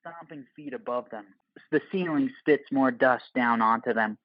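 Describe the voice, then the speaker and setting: A man's voice: mid-range voice, normal speed, no room sound, muffled recording. A man narrating an audio play, reading stage directions in terse screenplay phrases.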